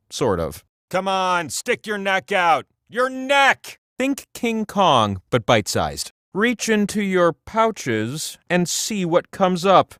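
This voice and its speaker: High, swooping, quirky game host. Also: male voice, fairly bright tone, dry recording, clean studio sound, exaggerated voice. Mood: playful, quizzing, then exasperated. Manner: theatrical, playful, with exasperated asides. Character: quirky, excitable trivia game host with personal opinions. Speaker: game show host character. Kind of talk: quiz hint narration for a party video game.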